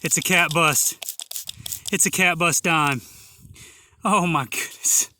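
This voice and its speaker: Man, hoarse voice, slow awed talk, bright tone, outdoors in a field. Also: fairly high pitch, a little hiss or hum. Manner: excited, slow and awed, many exclamations. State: hoarse, losing his voice. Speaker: metal detecting vlogger. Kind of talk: casual outdoor narration to camera.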